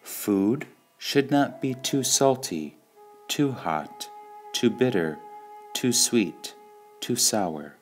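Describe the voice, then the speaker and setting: A man reading spiritual sayings aloud in a calm, measured way, pausing between phrases. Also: medium pitch, faint music behind. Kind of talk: slow meditative reading of quotations.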